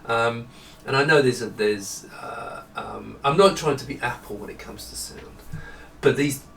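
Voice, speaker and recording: A man's voice: mid-range voice, measured pace, gravelly, a bit bright. An English man giving an informal, unscripted product demonstration to camera in a rambling, explanatory way. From England. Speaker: presenter of his own product design video. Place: indoor room with studio lighting. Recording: roomy echo, distant mic, faint noise.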